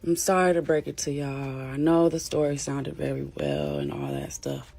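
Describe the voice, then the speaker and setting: A speaker in a casual reply video, addressing followers in a drawn-out, dismissive way. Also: medium pitch, animated, vocal fry, a little mellow, soft background music.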